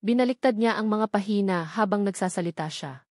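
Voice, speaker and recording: A woman's voice: mid-range voice, fairly quick, a little mellow, no room sound, studio quality. A woman reading a novel aloud as a scripted story narration. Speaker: audiobook narrator.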